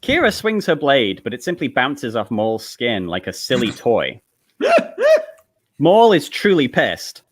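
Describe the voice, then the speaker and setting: Animated man narrating a comedic script. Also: medium pitch, measured pace. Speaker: narrator reading stage directions. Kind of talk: live comedic script table read, narration.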